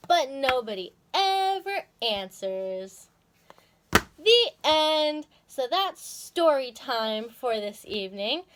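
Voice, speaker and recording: A woman reading a children's story aloud in a dramatic, expressive way. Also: high voice, slow pace, noisy background.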